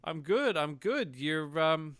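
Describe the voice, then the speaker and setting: A man's voice: a little high, very animated, slow pace, fairly bright tone, clear, resonant tone, dry recording. An Australian man hosting a podcast in casual chat, laughing easily and thinking aloud as he goes. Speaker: podcast host.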